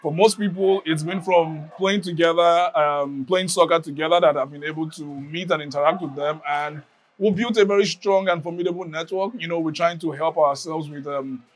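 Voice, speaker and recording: A man from Nigeria giving a reflective personal testimony, often pausing to search for words. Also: a little high, fairly even intonation. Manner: reflective, many fillers and restarts. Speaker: interviewee.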